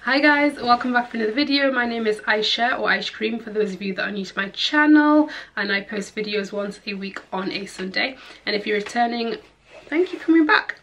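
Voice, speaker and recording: A woman vlogger with a croaky morning voice, talking through a head-wrap tutorial in a chatty, rambling, upbeat voiceover. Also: a little high, a little fast, a bit bright, a little hiss or hum. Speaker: fashion vlogger. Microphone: voiceover mic.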